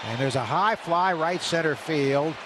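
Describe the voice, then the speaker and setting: A man calling baseball play-by-play on radio, brisk and rising for big plays. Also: fairly high pitch, heavy background noise. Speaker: play-by-play announcer.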